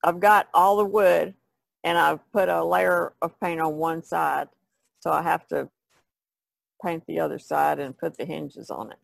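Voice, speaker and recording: A woman's voice: sits low, fairly even intonation, unhurried, vocal fry, no room sound. A woman chatting casually as a podcast panelist, with a folksy way of talking. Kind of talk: casual chat.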